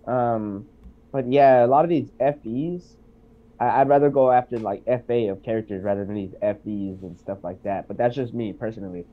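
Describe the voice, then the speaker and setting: A congested man speaks hesitantly over a video call, stopping often with ums. His voice comes through thin and tinny, like a phone line, at a middle pitch. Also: normal speed. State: congested from being sick. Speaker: guest. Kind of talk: casual chat on a call.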